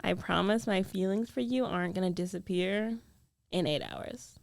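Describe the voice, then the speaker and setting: A woman playing a jealous, lovestruck young female roommate in an intimate ASMR roleplay, guarded yet tender, speaking with hesitant, emotional pauses. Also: fairly low pitch, gravelly, no room sound, clean studio sound. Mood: tired, soothing.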